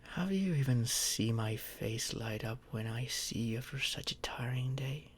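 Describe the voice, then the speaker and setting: A man playing a tender, protective boyfriend in a soft, soothing ASMR roleplay, often close to a whisper. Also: mid-range voice, lively intonation, normal speed, slightly rough. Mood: soothing, affectionate. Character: tender, protective boyfriend soothing his overworked partner to sleep.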